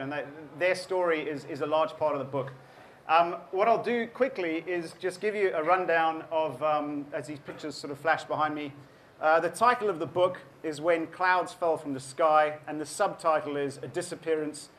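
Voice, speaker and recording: A South African man in his forties speaks at a book event, a fairly high voice for a man. He talks in a thoughtful way, with many ums and restarts as he gathers his thoughts. A faint hiss of the room sits under him. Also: fairly bright tone. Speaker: author giving a book talk. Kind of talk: public talk to an audience.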